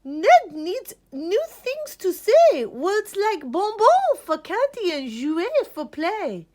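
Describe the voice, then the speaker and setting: Woman acting a very high-pitched, fussy schoolteacher. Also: very animated, slow, a little mellow, heavily acted. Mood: exasperated. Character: fussy French teacher giving exasperated advice.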